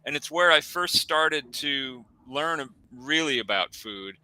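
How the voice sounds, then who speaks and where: Casting: bright, crisp-voiced man in his sixties, from the Midwest, co-host, rambling storyteller, dry close sound. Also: on the high side, expressive, unhurried, no echo, rough recording. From American Midwest. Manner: rambling, self-correcting. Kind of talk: casual chat. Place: home office.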